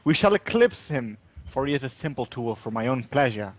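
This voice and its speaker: Brief: man voicing an ominous poetic narrator, slow and dramatic with a swinging pitch. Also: very animated.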